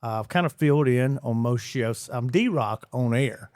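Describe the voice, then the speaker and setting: A man's voice: medium pitch, unhurried, a little mellow, dry recording. Male radio guest, chatty rambler, dry studio sound. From Georgia, US. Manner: rambling, repeats words, many fillers. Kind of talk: casual interview chat.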